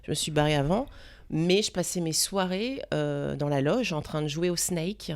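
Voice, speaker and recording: A woman's voice: low voice, normal speed. Woman casually telling a slangy anecdote. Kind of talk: casual talk-show storytelling.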